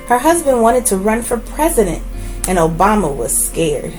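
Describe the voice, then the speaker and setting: A woman reading a children's book aloud as host, with expressive, deliberate phrasing and brief asides. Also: mid-range voice, poor audio.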